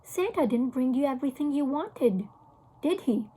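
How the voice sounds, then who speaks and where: A woman's voice: high-pitched, expressive, normal speed, mellow. A woman voicing an eager, candid little boy in a children's storybook. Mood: earnest. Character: excitable, candid little boy, younger brother.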